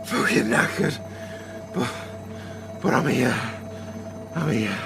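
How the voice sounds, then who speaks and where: A man acting an exhausted, betrayed and angry soldier in an audio drama, with a gravelly voice. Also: mid-range voice, expressive, slow pace, over music, exaggerated voice. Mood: weary. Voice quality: gravelly, creaky.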